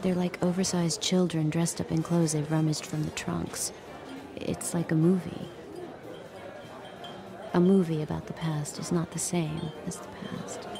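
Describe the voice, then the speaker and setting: A woman playing a watchful, subdued captive in a modern audio drama, guarded and measured in her speech. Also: low voice, level tone, crowd noise. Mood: reflective, detached. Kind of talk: modern audio drama acting with inward narration. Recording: clear music bed behind voice.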